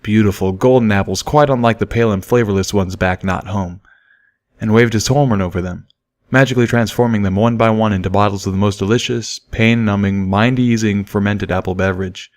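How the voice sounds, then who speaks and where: A man's voice: lowish voice, fairly even intonation, brisk. A man reading scripted first-person fiction as an audiobook narrator. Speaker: audiobook reader.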